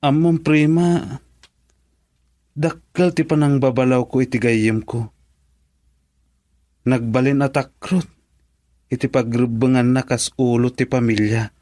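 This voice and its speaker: Man acting indignant young cousin, medium pitch, Ilocano accent, radio drama delivery, dry studio sound. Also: a little slow, long pauses, warm tone, dry recording, lightly acted. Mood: disapproving.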